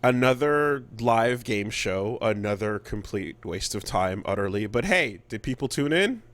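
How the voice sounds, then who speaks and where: A man co-hosting a casual podcast about video games, speaking haltingly and repeating words mid-thought. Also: on the low side, lively intonation, fairly bright tone. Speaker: podcast co-host.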